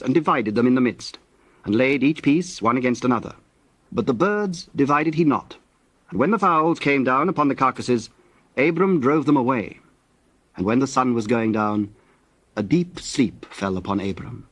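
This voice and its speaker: Measured male scripture narrator, mid pitch. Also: lively intonation, no room sound.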